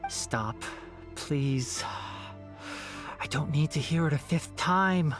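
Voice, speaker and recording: A man voicing a blunt, sharp-witted young companion in a video game, dry and teasing in manner. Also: fairly high pitch, slow, music in the background. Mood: exasperated.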